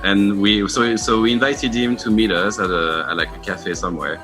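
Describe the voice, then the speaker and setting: A man's voice: fairly low pitch, steady tone, moderate pace, fairly bright tone, soft background music. A man talking as a musician in a casual interview, telling stories with enthusiasm. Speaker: musician in a band, interview guest.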